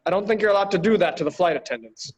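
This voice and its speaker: A high-voiced man performs stand-up from afar over a video call. He delivers his jokes in a dry, deadpan way. He grew up in Bangladesh. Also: rapid-fire, a crowd in the background. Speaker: stand-up comedian.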